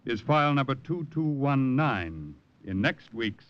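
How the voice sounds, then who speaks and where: A man's voice: medium pitch, sing-song, unhurried. A man delivering dramatic scripted narration in the style of 1940s radio. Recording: dull vintage radio broadcast, music behind.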